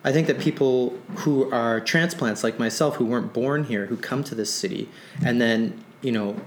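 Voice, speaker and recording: A man's voice: medium pitch, normal speed, a little hiss or hum. A man from Nova Scotia, an author talking casually in an interview, rambling with many restarts. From Nova Scotia, Canada. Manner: rambling, many restarts, animated when excited. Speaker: author guest. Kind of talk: conversational interview.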